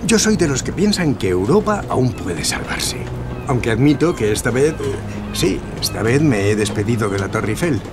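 An actor playing a courteous, flattering movie magnate in an audio drama, formal and a little hesitant. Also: male voice, medium pitch, normal speed, over music. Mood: impressed, wistful.

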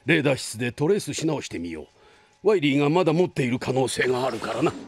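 A man's voice: mid-range voice, sing-song, quick pace. A man voicing an elderly cartoon scientist, kindly but worried, explaining things in old-fashioned old-man speech. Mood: thoughtful, worried. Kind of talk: anime voice acting.

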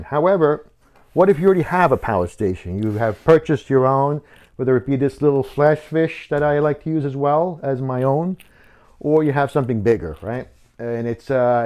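A man's voice: medium pitch, mellow. A man in his fifties hosting a casual how-to tutorial, explaining step by step in a conversational way. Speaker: host of a how-to video channel. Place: wooden home workshop, indoors.

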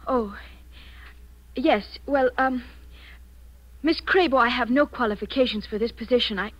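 Anxious young woman, high, husky. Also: measured pace, fairly bright tone. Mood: flustered, evasive. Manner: hesitant, flustered. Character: anxious, cornered young woman hired as companion. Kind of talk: 1940s radio acting. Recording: muffled 1940s radio recording.

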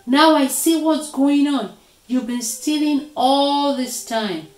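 A woman voicing an excitable, trusting spider farmer from a storybook, speaking emphatically. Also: mid-range voice, normal speed, reverberant. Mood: furious. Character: trusting spider farmer, easily roused to indignation.

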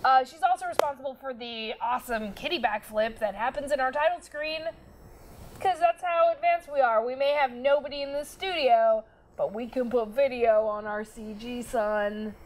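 A young woman hosting a casual panel show in an excitable, giggly manner. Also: sits high, moderate pace, a little hiss or hum. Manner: excitable, giggly, swears freely. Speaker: host. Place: small stage studio, indoors. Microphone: lapel mic.

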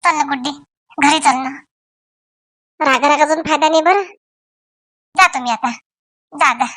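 A woman voicing an excitable, fussing rural auntie in a homemade comedy cartoon, exclaiming and repeating herself in Varhadi Marathi. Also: sits high, measured pace, no echo, studio quality. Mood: coaxing. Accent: Varhadi Marathi from Vidarbha. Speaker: cartoon channel's main character and presenter.

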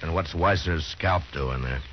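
Very deep-voiced stern frontier lawman. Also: a little slow. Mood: firm, stern. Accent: American. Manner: terse, commanding. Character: stern, steady frontier lawman in the Old West. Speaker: actor playing a US marshal. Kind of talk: 1950s radio western acting. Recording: muffled, noisy 1950s radio recording.

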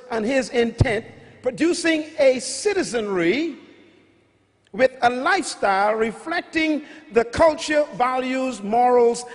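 Middle-aged Bahamian man, emphatic preacher, handheld mic in a big reverberant arena. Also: extremely high pitch. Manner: emphatic, repetitive teaching with rising calls. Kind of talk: sermon teaching. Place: large arena stage before a big audience. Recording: large hall with long reverb.

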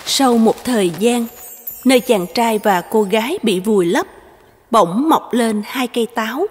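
A woman performing as a calm narrator, telling a cartoon folk tale in scripted storybook style. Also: fairly high pitch, unhurried, breathy edge, big-room sound, soft background music.

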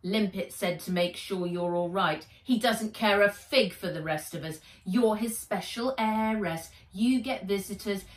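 A woman's voice: mid-range voice, unhurried, hardly any pauses, close to an everyday voice. Woman as a storybook schoolgirl, sullen, snappish, resentful, roomy sound. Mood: bitter, snappish.

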